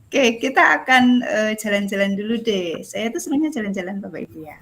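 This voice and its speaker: A woman's voice: mid-range voice, animated, a little fast, fairly bright tone. A woman facilitating an online class in a casual, jokey, giggly and self-deprecating way. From Indonesia. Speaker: facilitator of an online teacher training forum. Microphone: video call.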